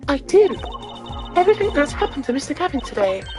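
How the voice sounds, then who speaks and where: A woman voicing an excitable, inquisitive teenage girl sidekick in a video game, speaking eagerly and emphatically. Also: high-pitched, fast talker, over music, pitched above the performer's own voice. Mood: eager, insistent.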